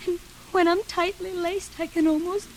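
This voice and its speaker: An actress playing a deranged, ailing and bitter woman in a 1940s radio thriller, halting and melodramatic, evasive and menacing. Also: very high voice, fairly even intonation, darkish tone. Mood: hysterical, pained. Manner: halting, melodramatic, repeats herself. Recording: hissy old radio recording with background noise.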